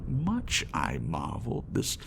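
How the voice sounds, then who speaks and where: Very deep, gravelly, hushed male narrator. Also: wildly swooping pitch, slow pace, slight background noise. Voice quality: hushed, breathy, often near-whisper. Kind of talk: ASMR audiobook poetry reading, dramatic. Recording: rumbling rain and thunder bed. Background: rain and thunder sounds.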